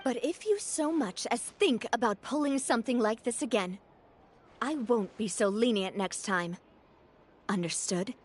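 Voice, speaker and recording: A woman's voice: fairly high pitch, measured pace, a little hiss or hum, lightly acted. An actress voicing a confident young female gang boss in a video game, firm and assured. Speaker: president of a gang.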